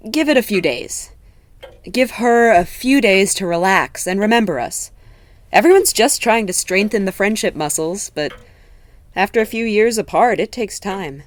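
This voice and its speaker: A woman playing a level-headed, honest young woman in an audio drama, speaking in a measured, articulate, earnest way. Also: mid-range voice, expressive, dry recording, lightly acted. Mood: reassuring. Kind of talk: naturalistic audio drama dialogue.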